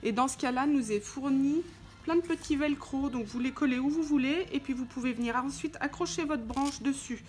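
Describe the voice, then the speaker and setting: High-pitched woman presenting a tutorial. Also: moderate pace. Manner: informal step-by-step demonstrating, talking while handling parts. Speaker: tutorial presenter for a motorcycle gear channel. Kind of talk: hands-on product tutorial, unscripted explanation. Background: background music.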